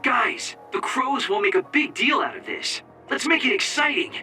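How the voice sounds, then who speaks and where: A man's voice: very high-pitched, animated, moderate pace, bright, forceful tone, distant mic, faint music behind, heavily acted. A man voicing a breezy, joking young psychic soldier in a video game, talking in a playful, casual way. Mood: excited. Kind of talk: video game cutscene acting.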